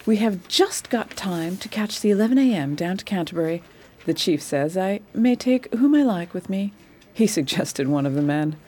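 A woman's voice: mid-range voice, animated, moderate pace, mellow, natural voice. A woman playing an eager, commanding lady detective in a radio drama, refined and purposeful. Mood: brisk, confiding. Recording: faint background noise.

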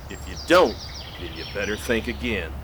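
A man's voice: fairly low pitch, expressive, moderate pace, natural voice. An actor playing a greedy, defiant outlaw henchman in a western radio drama, belligerent and demanding. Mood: domineering, gloating. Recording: outdoor nature ambience behind, faint noise.

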